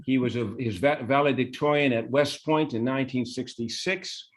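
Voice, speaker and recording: A man's voice: medium pitch, normal speed. A male moderator speaks informally at a live talk event. He moves through an introduction and questions in a conversational way, with small hesitations and self-corrections. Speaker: moderator, interviewer.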